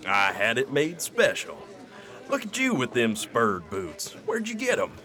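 Man as bright, cocky western outlaw sidekick. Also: medium pitch, huge pitch swings, measured pace, exaggerated voice. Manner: blunt, folksy, clipped phrases. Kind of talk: western radio drama acting.

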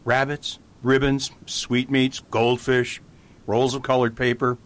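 An actor playing a calm, playful priest detective in an amateur radio drama, patient and mild. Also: male voice, mid-range voice, takes it slowly, natural voice. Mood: whimsical. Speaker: Father Brown.

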